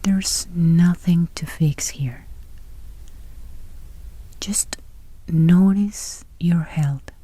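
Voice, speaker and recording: A woman leads a guided meditation in a low, very dark and mellow voice. Her delivery is soft, breathy and close to a whisper, with a gentle, unhurried calm. The sound is dry and intimate. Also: dry recording.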